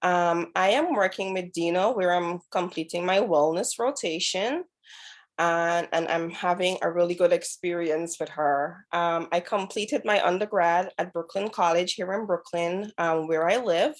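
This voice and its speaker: A woman from Guyana co-presents a webinar as a dietetic intern. Her voice is a little low, and she often pauses with "um." She speaks over a video-call mic. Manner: hesitant, many "um" fillers.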